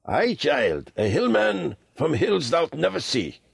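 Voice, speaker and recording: A man voicing an old Tibetan lama, a gentle, earnest and humble wanderer in a storybook reading. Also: a little high, wildly swooping pitch, slow, gravelly, slightly rough, no echo, clean studio sound, voice pushed up, exaggerated voice. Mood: patient, earnest.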